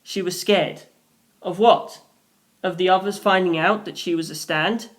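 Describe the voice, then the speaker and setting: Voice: mid-range voice, steady tone, a little slow. A narrator reading a scripted story aloud in a dramatic storytelling style, acting out the characters. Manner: acts out characters' dialogue in different voices. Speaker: audiobook narrator, reader.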